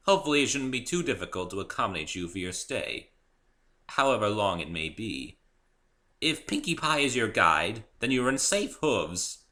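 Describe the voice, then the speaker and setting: A man voicing a dignified middle-aged pony mayor, a female character who speaks in a formal, measured, official way in a story. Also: fairly low pitch, sharp tone.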